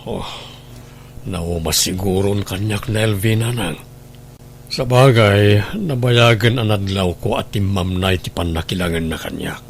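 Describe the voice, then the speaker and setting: A man's voice: lowish voice, fairly even intonation, a little slow, slight background noise. A man acting in a radio drama as a hurt, resigned husband whose wife has left. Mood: dejected.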